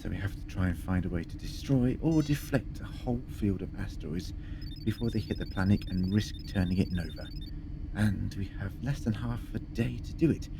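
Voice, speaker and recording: Guy acting an eccentric sci-fi adventurer man, lowish voice, flippant and curious, noisy backdrop. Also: fairly quick, gravelly. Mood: inquisitive, wry. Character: whimsical, eccentric male time-travelling adventurer scientist. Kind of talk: science fiction audio drama acting. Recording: noticeable background noise.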